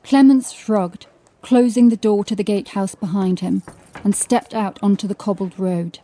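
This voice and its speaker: A woman narrating scripted horror fiction as a dramatic storyteller, with a mellow voice. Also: medium pitch, steady tone, moderate pace.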